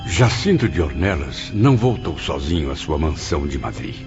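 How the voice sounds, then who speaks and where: A man's voice: rumbling low, big pitch swings, normal speed, heavily acted. A man playing a bitter, vengeful man who confesses his obsessive past in a dramatized audiobook, with dramatic, rancorous delivery. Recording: dull lo-fi audio under loud music. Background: music.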